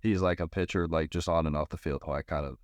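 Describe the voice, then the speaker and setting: Deep-voiced young man chatting casually. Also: steady tone, fast talker, no room sound, studio quality. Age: young adult. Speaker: baseball pitcher, podcast guest. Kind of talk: casual interview chat.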